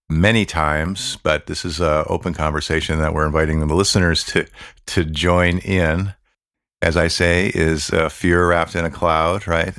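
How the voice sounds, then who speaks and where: A man hosts a reflective podcast in a calm, measured way. He often pauses with fillers and lets phrases draw out. His voice sits extremely low. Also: creaky. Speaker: podcast host.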